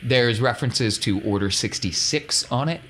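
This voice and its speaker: A man's voice: mid-range voice, normal speed, a bit bright, slight background noise. A man acting as game master, narrating a tabletop roleplaying game in a casual, conversational way. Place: tabletop podcast recording.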